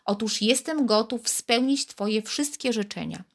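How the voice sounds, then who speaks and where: A woman voicing a small magic blue bead, a fussy male figure with a thin, high voice that grumbles impatiently. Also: lively intonation, moderate pace, fairly bright tone. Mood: solemn.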